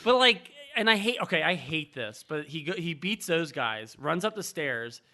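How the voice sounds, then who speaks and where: A man's voice: a little high, very animated, normal speed, bright, forceful tone. A man co-hosting a film podcast in casual chat, mockingly acting out bits of imagined dialogue as he goes. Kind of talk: casual group chat about a movie.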